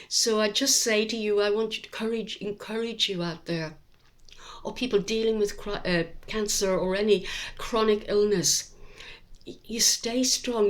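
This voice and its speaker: A middle-aged woman talks straight to the camera in a reflective personal vlog. Her tone is earnest and encouraging. She pauses often and fills the gaps with ums, and her pitch sits in the middle for a woman. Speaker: vlogger sharing her cancer journey.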